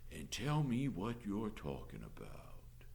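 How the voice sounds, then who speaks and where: A male narrator plays a drunken small-town sheriff from a storybook. He speaks low in a slow drawl, smug and leering with quiet menace. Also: wildly swooping pitch, gravelly, heavily acted. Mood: lazy, dismissive.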